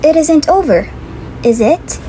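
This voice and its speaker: A plucky, anxious little girl heroine played by a woman in a puppet show. The voice is high and swings up and down animatedly, over a noisy background. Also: lively intonation, a little slow, warm tone. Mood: uneasy.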